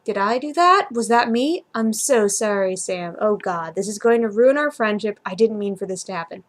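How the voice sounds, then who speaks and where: Woman doing a hungover young guy, groggy n sorry, gentle, storybook reading. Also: medium pitch, animated, moderate pace, natural voice. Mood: remorseful.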